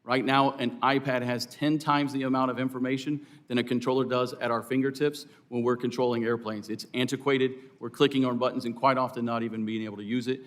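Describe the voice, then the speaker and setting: A man's voice: medium pitch, level tone, fairly quick, few pauses. Man giving formal, emphatic testimony. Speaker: air traffic controllers' representative, hearing witness. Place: hearing room.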